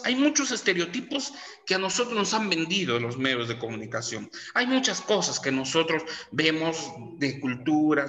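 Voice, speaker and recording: Chatty Peruvian teacher in an echoey room. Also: male voice, a little high, bright, forceful tone. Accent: Peruvian Spanish. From Peru. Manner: chatty, lots of tag questions and fillers. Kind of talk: classroom lecture. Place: classroom. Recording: echoey room with long reverb.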